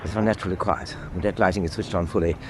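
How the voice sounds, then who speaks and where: A man's voice: low voice, fairly even intonation, racing, a little hiss or hum, lightly acted. A man playing a cool, methodical British naval officer in a radio drama, clipped and precise, with a British accent. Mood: cool command.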